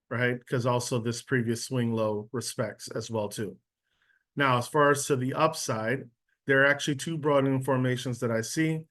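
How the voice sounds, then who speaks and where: A man leads a relaxed online lesson, talking it through in an easy, everyday voice. His pitch sits in the ordinary middle range. He often pauses to check that his listeners are following. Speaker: trading instructor. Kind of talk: live online trading lesson. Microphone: video call.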